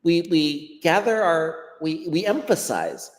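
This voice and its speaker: A man's voice: on the high side, huge pitch swings, slow. A man with a Western American accent, a guest teacher explaining his ideas unscripted over a video call, thoughtful and often repeating words as he gathers his thoughts. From United States. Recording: long hall-like reverb.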